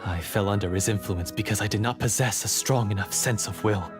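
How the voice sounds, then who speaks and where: A man playing an earnest young repentant hunter in a video game, halting and a little theatrical. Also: on the low side, natural voice. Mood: theatrically contrite. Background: game music.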